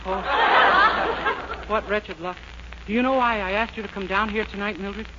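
An actor playing a suave, self-important stage idol in a mock-romantic 1940s radio comedy sketch. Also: male voice, high-pitched, steady tone, moderate pace, natural voice. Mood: grandly romantic. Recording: muffled, noisy old radio recording.

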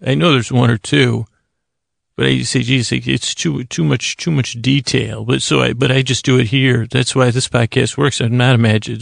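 An American man with a heavily creaky, gravelly voice hosts a bedtime podcast. He talks in a flat, narrow range, halting and restarting as he meanders through improvised tangents. The sound is dry and close. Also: medium pitch, no room sound. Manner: halting, meandering, self-interrupting with frequent tangents and pauses. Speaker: podcast host. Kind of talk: rambling, improvised bedtime monologue.

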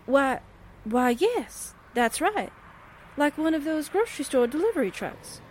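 A woman acts out a wisecracking newspaper assistant in a forties radio drama. Her voice is high and very animated, brassy and slangy. Faint vehicle ambience runs behind her. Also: a little slow, a little hiss or hum. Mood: puzzled, surprised. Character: wisecracking, incredulous young female newspaper assistant. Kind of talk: 1940s radio drama acting.

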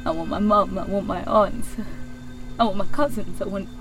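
A woman's voice: mid-range voice, normal speed, lightly acted. An actress playing an anguished young woman in a first-person audio drama monologue, intense and raw, lingering on her words. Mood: pleading, desperate. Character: anguished young woman confronting her wounded mirror self. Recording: music behind the voice.

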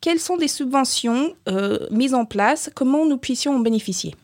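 A woman's voice: high-pitched, animated, normal speed, darkish tone, dry recording. A woman hosting a business podcast, speaking French as a non-native with an English-speaking accent, upbeat and chatty. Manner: upbeat, chatty, slightly scripted. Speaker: podcast host, business founder. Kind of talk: podcast talk and interview.

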